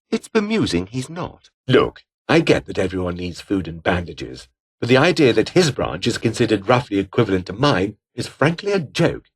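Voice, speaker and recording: A bitter, scheming British aristocrat turned rebel officer for a video game. His fairly low voice swings widely in pitch as he talks in clipped, formal phrases. He sounds pompous and sneering throughout. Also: slightly rough. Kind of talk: video game character dialogue.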